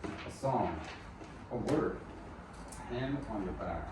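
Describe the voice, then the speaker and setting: A man reading the part of a broken, grieving musician in a film table read, softly and without hurry. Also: on the low side, lively intonation, slow. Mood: weary, reflective. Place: echoey room at a group table read. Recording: roomy echo, distant mic, noisy room.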